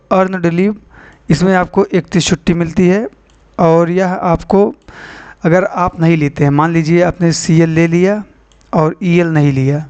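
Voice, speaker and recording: A man's voice: on the high side, a little mellow, clean tone, highs cut off. A man hosting an informal explainer for students, addressing viewers as friends and repeating key points. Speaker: video channel host. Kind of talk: informal explainer for exam aspirants.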